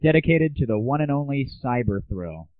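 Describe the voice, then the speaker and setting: A man's voice: mid-range voice, lively intonation, moderate pace, over loud music. A young man hosting a comic internet audio show with a sarcastic, cocky delivery. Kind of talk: scripted comic monologue. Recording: tinny, band-limited lo-fi audio.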